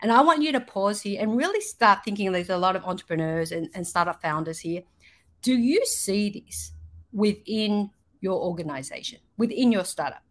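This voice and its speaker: A woman's voice: mid-range voice, animated, moderate pace. A middle-aged woman presenting slides online as a marketing speaker, explaining point by point and often checking in with "right?" and "you know". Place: indoors, on a video call.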